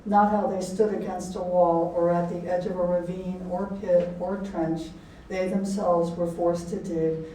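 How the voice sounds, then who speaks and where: A woman's voice: fairly low pitch, little pitch movement, dark tone. Older woman in a very echoey room. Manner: halting, reflective. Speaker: author and poet giving a talk. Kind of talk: informal talk and poetry reading to an audience. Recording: very echoey room, distant mic, faint noise.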